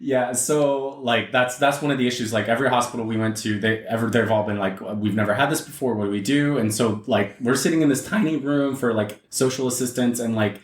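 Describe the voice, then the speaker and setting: A man whose first language is Portuguese talks casually on a podcast, telling stories with a ready laugh. His voice sits at mid pitch. The recording picks up a roomy echo. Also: fairly quick, few pauses. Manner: chatty storyteller, laughs easily. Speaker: podcast guest. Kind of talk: casual chat.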